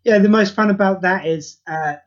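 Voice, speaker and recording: A man's voice: high-pitched, moderate pace. A man being interviewed as a writer, chatting casually in a rambling way over an internet call. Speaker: interview guest, audio drama writer. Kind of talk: casual chat. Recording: slightly dull internet call.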